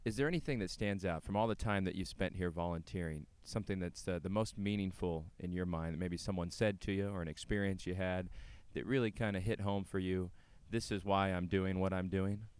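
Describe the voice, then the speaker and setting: A man's voice: deep voice, fairly even intonation, brisk, few pauses, a little mellow, clear, resonant tone. A man hosting a radio show, interviewing guests and presenting links between segments.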